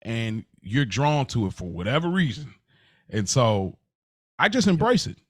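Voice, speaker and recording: A man's voice: medium pitch, very animated, measured pace, slightly rough, no room sound, clean studio sound. An American man co-hosting a podcast, talking casually and earnestly in informal, slangy language. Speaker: podcast co-host.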